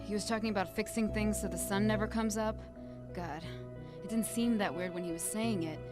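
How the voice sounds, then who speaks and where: A woman's voice: medium pitch, fairly even intonation, a little fast, music in the background, lightly acted. An actress playing a troubled, vulnerable young woman in a video game cutscene. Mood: relieved, shaken. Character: dazed, lovesick young woman under dark influence, then shaken.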